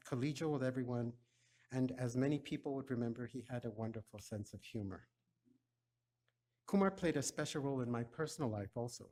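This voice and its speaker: A man's voice: mid-range voice, a little mellow. Solemn man giving a eulogy. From Ghana. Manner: measured, solemn delivery. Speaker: eulogy speaker. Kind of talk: memorial eulogy.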